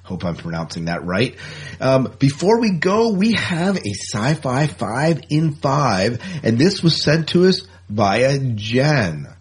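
A man's voice: mid-range voice, sing-song, slow pace, breathy edge. A man hosting a podcast in a casual, informal, easygoing chat style. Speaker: podcast host.

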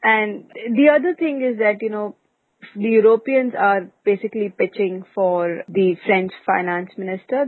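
A thin, phone-quality recording carries a woman with a mid-pitched voice. She speaks as a podcast co-host, commenting on business news in a conversational manner. There is no room sound around her. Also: no echo.

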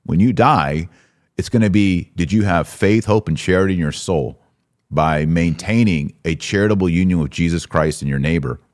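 A man's voice: low-pitched, normal speed. A man hosting a podcast, giving opinionated religious commentary in an emphatic, exhorting manner with rhetorical questions. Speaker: podcast host.

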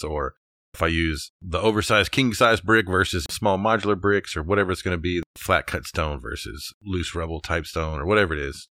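A man's voice: deep voice, bright, forceful tone, dry recording. A man with an American accent co-hosting a casual, conversational discussion. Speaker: podcast co-host, architecture teacher.

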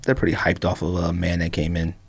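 A man's voice: deep voice, completely flat delivery, fairly quick. A man co-hosting a sports podcast, talking in casual slang with a teasing, insistent manner.

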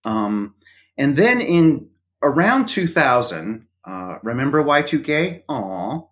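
A middle-aged man of medium pitch, chatting as a guest on a podcast. He talks in a loose, hesitant way, pausing often on ums and uhs as he gathers his thoughts. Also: very animated, fairly bright tone. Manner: hesitant, many fillers. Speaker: podcast co-host, interview guest. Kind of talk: casual conversation.

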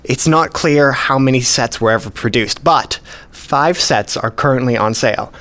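A man's voice: mid-range voice, normal speed, a bit bright, close to an everyday voice. An actor playing a modest, precise male hobbyist collector, explaining things methodically in a radio play. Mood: matter-of-fact, modest. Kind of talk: scripted dialogue, explaining.

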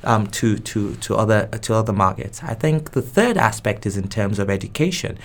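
Low-voiced man with Yoruba roots, halting answers. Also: lively intonation. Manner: thoughtful, with frequent restarts and repeated words. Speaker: guest expert. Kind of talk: interview answers.

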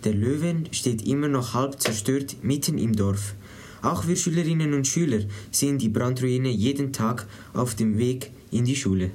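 Teenage male reporter, medium pitch. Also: normal speed, darkish tone. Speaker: student reporter. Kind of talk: scripted report narration.

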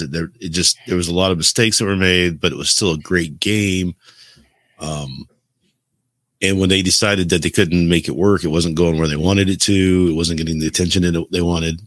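A man's voice: deep voice, fairly bright tone, no echo. A man explaining things in a casual podcast chat about video games, telling it like a story. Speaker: podcast co-host.

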